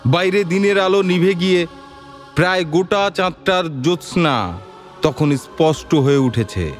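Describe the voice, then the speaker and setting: A man narrating a scripted audio story in a measured, literary and expressive storytelling manner. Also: on the high side, faint music behind.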